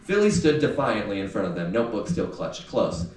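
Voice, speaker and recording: A man reading youth fiction aloud in an expressive, audiobook-like storytelling style. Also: on the low side, fairly quick. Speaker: author reading aloud from a novel. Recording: echoey room, distant mic, faint noise.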